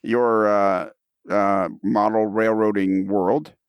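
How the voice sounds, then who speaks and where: A man's voice: lowish voice, steady tone, slow, clean tone, no echo. A man hosting a podcast in casual conversation, often repeating and restarting his phrases as he works toward his point. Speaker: podcast host.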